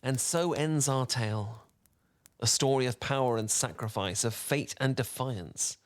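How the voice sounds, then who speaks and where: A man playing a charming, theatrical wizard who tells a bedtime tale softly and intimately, with dramatic pauses, in an ASMR roleplay style. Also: mid-range voice, natural voice. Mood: tender, intimate. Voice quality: soft-spoken. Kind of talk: soft-spoken ASMR roleplay storytelling.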